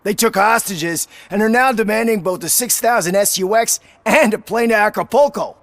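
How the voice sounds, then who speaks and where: Exasperated game police officer: high male voice, dry close sound, sardonic and incredulous briefing. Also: normal speed, gravelly, a bit bright, dry recording. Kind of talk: video game voice acting.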